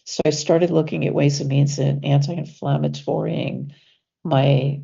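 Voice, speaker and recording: A woman coach talking casually as an interview guest, sounding stuffy from allergies, with laughs and fillers as she slows down to stress words. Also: low voice, steady tone, creaky, warm tone, slightly rough, dull audio.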